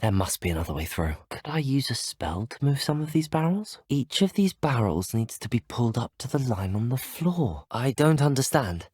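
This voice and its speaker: A young man with a British accent voicing a polite, inquisitive student wizard in a video game, measured and well-spoken. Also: medium pitch, darkish tone, husky, no room sound, clean studio sound. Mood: composed, inquisitive, then curious, musing. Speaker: video game player character. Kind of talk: scripted game dialogue.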